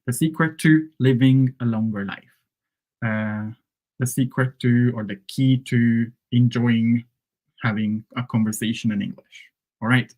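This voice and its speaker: A man whose first language is Hungarian, teaching English phrases in a relaxed live lesson and checking in with "right?" and "okay?". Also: medium pitch, measured pace. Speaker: English coach.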